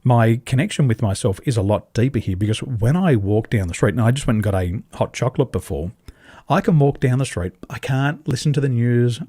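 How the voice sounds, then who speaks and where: An Australian man, a podcast guest, speaking on a video call and telling animated stories, acting out dialogue with big leaps in pitch and emphatic repetition. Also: a little fast, husky, no echo, clean studio sound. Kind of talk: animated conversational storytelling. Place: home in Taiwan, over a video call.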